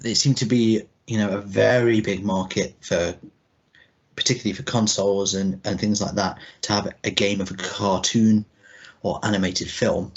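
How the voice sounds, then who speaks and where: A man's voice: fairly low pitch, fairly even intonation, moderate pace. A man in his thirties co-hosting a podcast, chatting casually in a loose, rambling way. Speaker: podcast co-host. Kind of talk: casual chat. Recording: dull lo-fi podcast audio.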